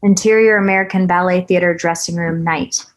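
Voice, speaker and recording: A woman's voice: fairly low pitch, fairly even intonation, a little fast. Woman reading stage directions. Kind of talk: scripted narration at a table read.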